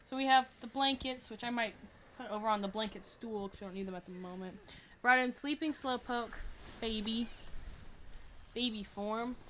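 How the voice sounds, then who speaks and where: Loud, projecting young woman, chatty. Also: fairly high pitch, normal speed, slight background noise. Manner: chatty, showing off plushies. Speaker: streamer. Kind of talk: casual chat.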